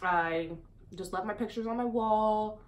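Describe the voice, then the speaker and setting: A young woman vlogger telling a casual storytime with her roommate, chatty and animated, dropping "dude" and "exactly" often. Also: medium pitch, measured pace. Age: young adult.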